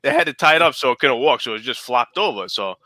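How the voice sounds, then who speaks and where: Bright, animated man in his thirties. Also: mid-range voice, fast talker, dry recording. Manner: profane, blunt. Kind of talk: casual banter and storytelling.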